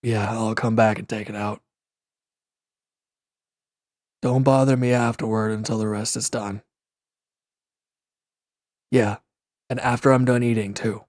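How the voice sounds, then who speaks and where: A man's voice: lowish voice, steady tone, normal speed, pauses often, darkish tone, no room sound, clean studio sound, lightly acted. A man acting a proud, bitter, wounded villain in an audio roleplay, speaking in a curt, irritable, dismissive way. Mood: curt, aloof. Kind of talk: dramatic roleplay audio monologue.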